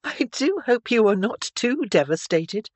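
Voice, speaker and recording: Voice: medium pitch, sing-song, a little slow, no room sound, voice pushed up. A narrator voicing an eager, chatty, polite teenage girl of the gentry in an audiobook. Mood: bright, polite. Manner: earnest, polite, chirpy. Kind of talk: audiobook character dialogue.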